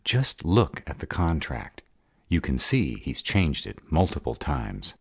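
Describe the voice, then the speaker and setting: Very deep, gravelly, hot-tempered were-dragon man acting. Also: very animated, measured pace, no echo, studio quality, full character voice. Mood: impatient. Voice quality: gravelly, raspy. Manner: growling, impatient, blunt. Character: gruff, hot-tempered male were-dragon, fiercely protective of his mate. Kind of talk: audio roleplay drama acting.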